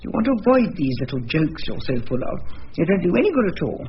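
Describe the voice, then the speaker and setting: An actress playing a haughty, bossy older English wife of a barrister in a radio drama, speaking precisely. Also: female voice, on the low side, sing-song, quick pace. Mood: bossy, scolding. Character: imperious older barrister's wife, sharp and self-assured. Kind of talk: radio drama dialogue and narration. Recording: dull lo-fi radio recording with hiss.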